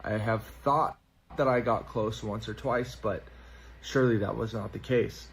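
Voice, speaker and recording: A man in his thirties giving a reflective, measured monologue as a guest on a livestream. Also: fairly low pitch, fairly even intonation, a little hiss or hum. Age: 30s. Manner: thoughtful, measured.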